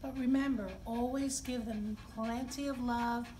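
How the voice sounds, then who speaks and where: A middle-aged woman hosting story time, speaking to young children in simple, expressive phrases. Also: fairly high pitch, unhurried. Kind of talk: read-aloud and talk for young children. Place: indoor library children's room. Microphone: camera a few feet away. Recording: distant camera mic, clear background noise.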